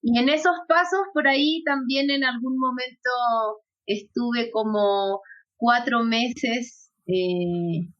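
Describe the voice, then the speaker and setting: A woman's voice: on the high side, slow, a bit bright. A woman raised in Ecuador, an interview guest, telling her story with frequent laughs and hesitant fillers. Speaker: guest, actress and yoga instructor. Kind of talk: conversational interview. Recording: dull lo-fi audio.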